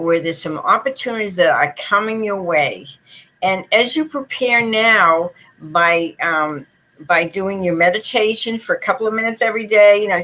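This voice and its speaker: A woman's voice: medium pitch, bright, forceful tone, low-quality recording. A woman with a Boston accent giving chatty, long-winded tarot readings on a live stream. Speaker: psychic reader.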